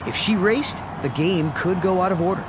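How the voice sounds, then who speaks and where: A man narrating a children's story from a script, with expressive storytelling emphasis. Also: sits high, normal speed, heavy background noise.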